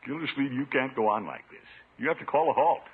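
A man's voice: mid-range voice, fast talker, close to an everyday voice. Man acting a blustery American uncle, old tinny radio. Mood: grumbling, exasperated. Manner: blustery, chuckling, grumbling to himself. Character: pompous, good-humored middle-aged uncle and household head. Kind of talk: 1950s radio comedy acting. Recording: tinny, noisy 1950s radio recording.

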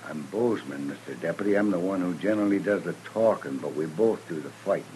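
Menacing cowboy heavy, male actor, low register, mock-polite insolence, hissy old-time radio sound. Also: fast talker, dark tone, natural voice, poor audio. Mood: taunting, menacing. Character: insolent, menacing drawling gunslinger from a cow town. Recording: hissy vintage radio recording.

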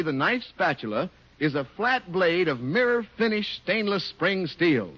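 A man's voice: sits high, fairly bright tone. An American man announcing in 1950s radio advertising style, with emphatic, polished salesmanship. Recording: muffled vintage radio recording.

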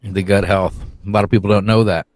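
A man chatting casually as a podcast guest, in easygoing, relaxed biker talk. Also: fairly low pitch, a little fast. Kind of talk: casual chat.

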